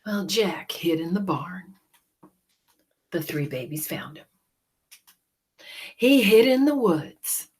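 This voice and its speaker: A woman reading a children's story aloud in a dramatic, deliberate way, with hushed moments. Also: lowish voice, big pitch swings, breathy edge. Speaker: storybook reader.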